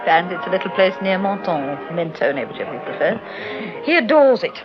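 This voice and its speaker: An actress playing a glamorous, inquisitive English detective's wife with a refined, sceptical manner in a vintage radio drama. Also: female voice, lowish voice, very animated, normal speed. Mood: light, chatty. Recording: dull vintage radio recording with music.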